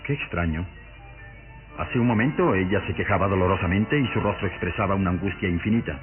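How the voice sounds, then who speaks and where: An actor playing an earnest, suspicious police inspector in a radio drama, questioning dramatically and with nervous resolve. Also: male voice, sits low, fairly quick, lightly acted. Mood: suspicious, formal. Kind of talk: old Latin American radio drama acting. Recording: muffled old radio recording with music.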